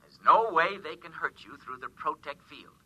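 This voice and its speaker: An actor playing a complacent, fussy mission supervisor talking over a radio link in a 1950s radio comedy. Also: male voice, on the high side, expressive, normal speed, pushed and bright, slightly rough, no echo, exaggerated voice, low-quality recording. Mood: fussy, dismissive. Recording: dry, thin vintage radio recording.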